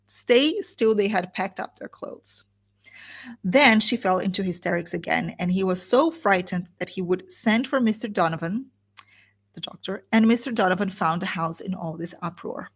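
A woman's voice: mid-range voice, breathy edge. A woman reading aloud as a gossipy, chattering older woman who breathlessly retells news. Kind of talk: reading a classic novel aloud.

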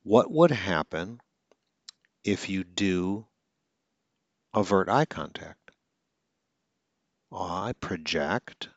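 A very deep-voiced man talks through a coaching podcast in an earnest way, with occasional stutters and restarts. The sound is dry and close, with a slightly dull, lo-fi quality. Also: lively intonation, very slow, pauses often, dry recording. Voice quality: stutters now and then. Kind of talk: instructional podcast monologue.

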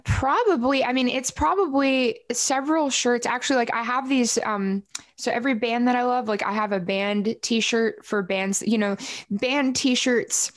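A woman with a low voice chatting casually and informally as a musician guest in an interview, rambling as she goes. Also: a little slow, dry recording, studio quality.

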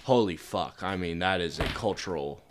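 A man's voice: sits low, normal speed, noisy background. A young man in his twenties from Pittsburgh, co-hosting a casual podcast from an attic, joking in a blunt, crude way. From Pittsburgh, Pennsylvania. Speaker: podcast co-host. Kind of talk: casual chat.

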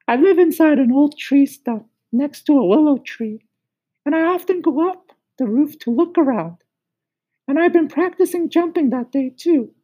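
A man voicing a shy, wistful young male cricket with a high, musical, sing-song voice, for a children's storybook reading. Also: warm tone, husky, full character voice, poor audio.

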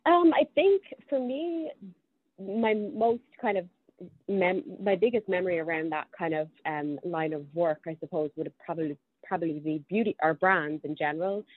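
Low-voiced Irish woman on a tinny line. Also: normal speed, no room sound. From Ireland. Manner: chatty, thinks aloud with frequent ums. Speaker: guest. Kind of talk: casual interview conversation.

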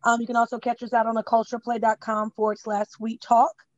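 A woman's voice: a little high, little pitch movement, a little fast, darkish tone, no room sound. Woman guest plugging her pages, easygoing, dry muffled sound. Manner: breezy, matter-of-fact plugging. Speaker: podcast guest. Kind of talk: casual chat.

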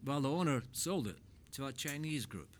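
An older man telling his life story in a casual chat, rambling through animated anecdotes with plenty of swearing. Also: mid-range voice, normal speed, a little hiss or hum.

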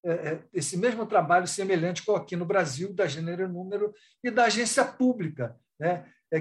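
Man, Brazilian accent, fairly high voice, academic panelist, spontaneous, discursive, halting phrasing with frequent rewording. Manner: halting and discursive, with repeated tag questions and rephrasing. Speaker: academic researcher and thesis supervisor. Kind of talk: spontaneous panel discussion in an online live talk.

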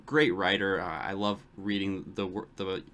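A man's voice: sits low, little pitch movement, normal speed, a bit bright, a little hiss or hum. A man hosting a podcast, friendly and enthusiastic, stumbling a bit as he talks. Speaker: podcast host. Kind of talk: casual interview talk.

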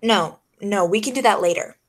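A woman's voice: mid-range voice, normal speed, close to an everyday voice. A woman playing a wry, skeptical female private detective in the style of a 1940s radio drama. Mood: firm, skeptical. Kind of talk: 1940s old-time radio detective acting. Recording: music behind the voice.

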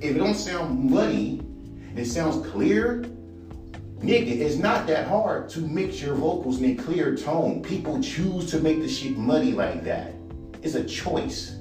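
A man with a baritone voice ranting casually and profanely as a podcast host, rambling and animated. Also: a little slow. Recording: echoey room, far from mic, music behind.

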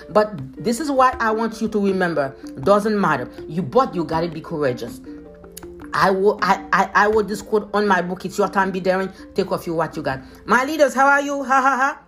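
A woman's voice: mid-range voice, animated, brisk, faint music behind. Emphatic Haitian-accented woman motivational speaker. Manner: emphatic, repeats phrases, addresses listeners as leaders. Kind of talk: motivational podcast talk.

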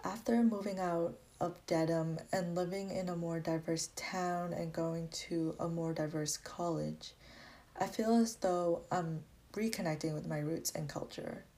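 A woman's voice: fairly low pitch, steady tone, a little slow, mellow. A young woman with an American accent, a college student reflectively telling her personal story. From grew up in Massachusetts, US, born in Vietnam. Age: young adult.

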